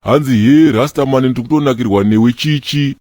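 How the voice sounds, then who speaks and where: A man voicing a boastful Rasta ladies' man with a Zimbabwean accent in slangy comic cartoon banter, teasing and quick to snap. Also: medium pitch, a little mellow, dry recording, studio quality, natural voice. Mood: bragging. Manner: bragging, teasing.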